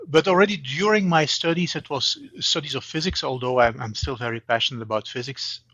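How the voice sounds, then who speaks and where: Halting Belgian man with a dull, dry sound. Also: medium pitch, pushed and bright, dry recording. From eastern Belgium. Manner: hesitant, repeats words, many fillers. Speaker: guest, banking AI expert. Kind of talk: interview answers.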